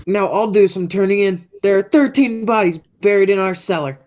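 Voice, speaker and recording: A woman's voice: mid-range voice, moderate pace, background noise, close to an everyday voice. A young woman playing a menacing, murderous man in a radio play. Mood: paranoid, angry. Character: menacing murderous man back after twenty years away. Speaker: high school theatre actor.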